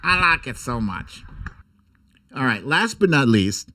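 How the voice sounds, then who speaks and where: A man's voice: medium pitch, sing-song, measured pace, bright, forceful tone. An American man hosting a casual livestream, chatting informally and jokily with some mild swearing. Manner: informal, jokey, mildly profane. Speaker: host of an online livestream show. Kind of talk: casual livestream chat and commentary.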